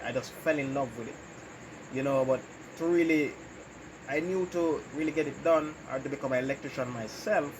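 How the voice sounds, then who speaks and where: A Jamaican man who runs his own channel talks through interview questions in an easy, unhurried way. He pauses often and fills the gaps with ums and you-knows. A steady hiss of background noise sits under his voice. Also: mid-range voice. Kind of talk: casual interview answers about his life. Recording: noisy background.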